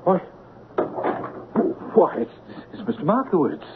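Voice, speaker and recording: A man's voice: high voice, sing-song, measured pace. An actor playing a baffled, sceptical male accomplice in a 1970s radio drama, questioning incredulously. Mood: astonished. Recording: tinny band-limited old radio broadcast.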